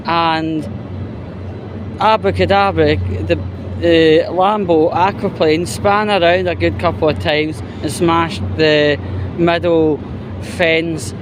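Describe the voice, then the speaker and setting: A man's voice: fairly high pitch, level tone, slow, noisy background. A man giving an opinionated, exasperated, sweary commentary in a casual vlog style. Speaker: YouTuber.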